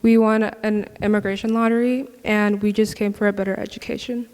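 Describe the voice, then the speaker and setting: A teenage high school student from Nigeria introducing herself on a panel, shy and giggly. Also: female voice, little pitch movement, fairly quick, darkish tone. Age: teen. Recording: distant, in a reverberant hall.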